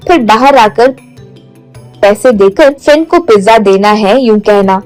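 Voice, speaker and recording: Female storyteller, scripted narration, mid-pitched, light music bed. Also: darkish tone.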